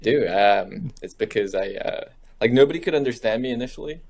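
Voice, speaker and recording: A man's voice: medium pitch, lively intonation, brisk, darkish tone. A man from New Zealand, a guest on a casual podcast, talking easygoingly. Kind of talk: casual chat.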